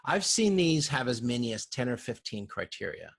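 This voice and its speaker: Husky male lecturer, halting delivery. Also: mid-range voice, sing-song. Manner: halting, self-correcting, explanatory. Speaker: university lecturer. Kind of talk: informal slide lecture.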